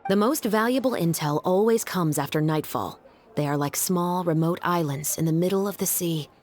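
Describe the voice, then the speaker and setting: A woman's voice: lowish voice, normal speed, a little mellow, natural voice. A woman playing a composed, dutiful young patrol officer in a video game, speaking formally and earnestly. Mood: thoughtful.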